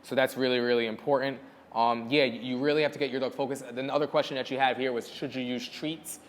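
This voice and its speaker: A man explaining dog training in a casual question-and-answer session, repeating words like "very, very" for emphasis. His voice sits at an ordinary middle pitch. It rings with the long reverb of a large room. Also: steady tone, fast talker, slight background noise. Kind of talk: casual instructional Q&A.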